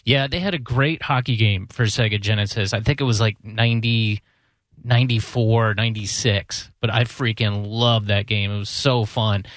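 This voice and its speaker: A man's voice: fairly low pitch, steady tone, moderate pace, a bit bright, no room sound, clean studio sound. A man hosting a radio show in casual chat, rambling and hesitant in a self-deprecating way.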